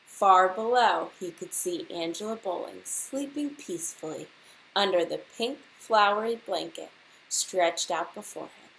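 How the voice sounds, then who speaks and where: Teacher lady reading a kids book out loud, slow and expressive, talks right to the kids, normal pitch. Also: gravelly, a little hiss or hum, low-quality recording. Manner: deliberate, expressive, addresses children directly. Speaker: teacher reading aloud to a class. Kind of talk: expressive children's storybook read-aloud with questions to listeners.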